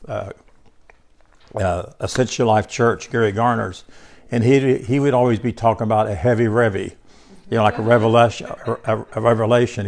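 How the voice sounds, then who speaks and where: A man's voice: fairly low pitch, darkish tone. An older man teaching a small group about scripture in a loose, conversational way, congested, often restarting words and speaking to listeners by name. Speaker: bible teacher.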